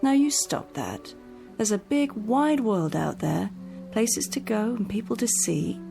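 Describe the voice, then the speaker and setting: Casting: bossy, kindly caretaker, lively and gravelly, radio drama. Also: female voice, medium pitch, measured pace. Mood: coaxing. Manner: coaxing, reassuring, firm. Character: brisk, cheerful caretaker woman, bossy but kind. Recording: radio drama with faint music.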